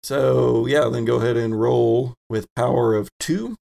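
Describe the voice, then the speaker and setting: A man with a creaky, fry-edged voice works as game master. He narrates the scene and explains rules in halting stretches, at an average pitch. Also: fairly even intonation, mellow. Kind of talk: narrating a tabletop roleplaying game.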